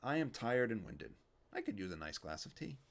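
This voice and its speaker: A man's voice: mid-range voice, big pitch swings, normal speed. A man voicing a grumbling old male lamp genie in a storybook read-aloud, wisecracking and short of breath. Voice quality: kvetching, wisecracking, sing-song questions. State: out of breath, tired.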